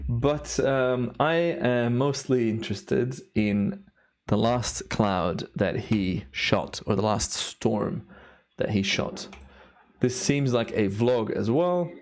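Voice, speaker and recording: A man hosting a live stream in casual, enthusiastic commentary, his voice a little worn from a long day of recording. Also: fairly low pitch. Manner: enthusiastic, awed exclamations. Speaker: live stream host, timelapse photographer.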